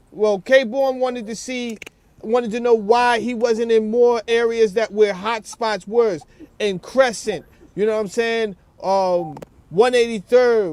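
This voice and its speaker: A man in a casual, earnest phone conversation, using slang and restating his points. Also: high voice. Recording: noisy phone call, background ambience.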